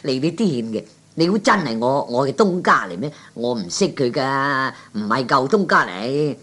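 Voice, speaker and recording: A man's voice: medium pitch, expressive, moderate pace, fairly bright tone. A man playing a sly, bossy, wheedling racetrack scrounger in a Cantonese radio comedy from the 1960s. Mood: smug, scornful. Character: sly fast-talking male racetrack scrounger.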